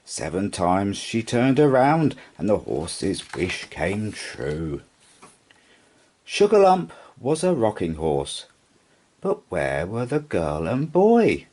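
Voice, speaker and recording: A man reading a children's story aloud as rhythmic, singsong verse with expressive narration. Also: fairly low pitch, takes it slowly. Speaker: storybook reader.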